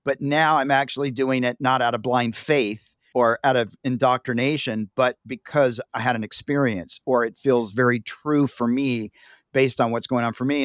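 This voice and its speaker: A man's voice: mid-range voice, dry recording. Brief: male podcast host, affirming, long open questions, dry close sound. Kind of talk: podcast interview.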